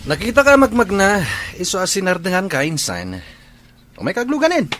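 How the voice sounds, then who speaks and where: A cocky, teasing cousin, played by a man in a radio drama. His mid-pitched delivery swoops animatedly, over faint background noise. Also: wildly swooping pitch, fairly quick, fairly bright tone, heavily acted.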